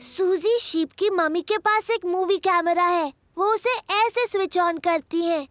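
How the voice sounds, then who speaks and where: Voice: very high voice, unhurried, fairly bright tone, soft background music. A performer voicing a cheerful, bossy little girl piglet in a children's cartoon dub, perky and childlike. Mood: chirpy.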